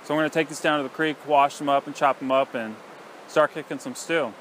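A man in his thirties talking casually to camera as a vlog host, in a low-key, plain-spoken way. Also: steady tone, a little fast. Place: outdoors on a misty farm by a river. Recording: outdoor recording with clear ambient noise.

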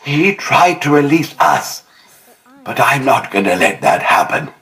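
An actor playing a possessive, vengeful animatronic elephant in a horror game, with a grave, menacing delivery. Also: male voice, medium pitch, very animated, a little slow, gravelly, pushed and bright, exaggerated voice. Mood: cold menace. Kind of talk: video game villain voice acting.

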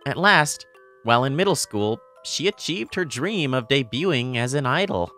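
A woman's voice: very deep voice, lively intonation, normal speed, a bit bright, soft background music. A woman voicing an earnest, easily flustered teenage boy in a manga dub, narrating and speaking expressively. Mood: reflective. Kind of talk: manga dub dialogue and first-person narration.

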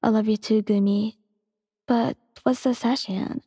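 A woman voicing an affectionate, teasing adult lover who speaks softly and fondly. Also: fairly high pitch, steady tone, a little slow, slightly rough, no echo, studio quality, big-room sound. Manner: soft, fond, teasing.

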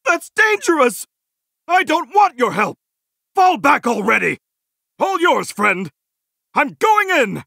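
A goofy middle-aged video game hero, earnest and over-eager. His voice sits very high and swings wildly in pitch, with a bright, forceful edge. Every short battle line comes out as a cheesy heroic exclamation. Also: very animated, slow, gravelly, long pauses, no echo, clean studio sound, exaggerated voice. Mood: eager, determined.

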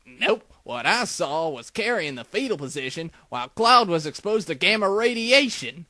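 A man voices a chatty Southern US bartender in a cartoon parody. He is folksy and earnest, with a sharp, forward tone that rises and falls freely. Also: sits high, sing-song, normal speed, no echo, clean studio sound, heavily acted. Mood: earnest gossip. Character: chatty small-town Southern male bartender. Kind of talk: parody cartoon dub acting.

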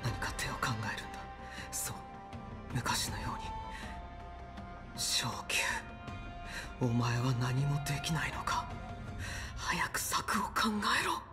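A man playing a young, calculating and quietly resolute hostage in a video game, speaking in a hushed, composed manner. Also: medium pitch, lively intonation, slow pace, long pauses. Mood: tense, hushed. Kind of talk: dramatic game voice acting. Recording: game music over the voice.

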